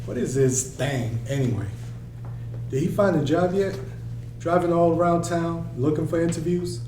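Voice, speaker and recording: An irritable, suspicious father played by a man in a drama read-through. His tone is mellow and dark, at middle pitch, heard from off in a roomy space with a faint hum. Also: moderate pace, distant mic, natural voice. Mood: irritated. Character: always-angry father, gruff and suspicious. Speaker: actor at a table read. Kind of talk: scripted dialogue read aloud.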